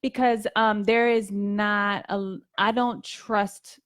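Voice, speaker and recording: A woman's voice: mid-range voice, steady tone, slow pace, no room sound, clean studio sound. A woman hosting a radio talk show, chatting candidly and earnestly and repeating phrases for emphasis. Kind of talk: candid casual chat. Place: radio studio.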